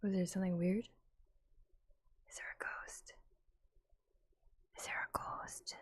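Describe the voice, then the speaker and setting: A woman chatting on a live ASMR stream, moving between breathy whispers and soft speech close to the microphone. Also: mid-range voice, slow pace. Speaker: streamer, ASMR roleplayer and voice actor.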